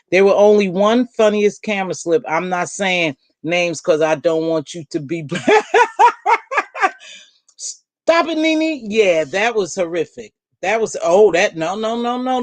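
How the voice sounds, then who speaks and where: Deep-voiced woman chatting on a livestream. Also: dry recording. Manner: chatty, blunt, calls viewers baby and girl. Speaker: livestream host. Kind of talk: casual live chat, answering viewer comments. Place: at home.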